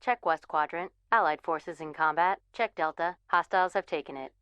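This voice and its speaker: A woman's voice: lowish voice, moderate pace, a bit bright, slight background noise, close to an everyday voice. A woman playing a composed military command operator, giving clipped, impersonal tactical announcements in a video game.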